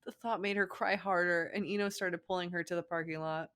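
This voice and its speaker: A woman's voice: fairly low pitch, fairly even intonation, fairly quick, fairly bright tone. A young adult woman co-hosting a fan fiction reading, narrating the story with casual asides.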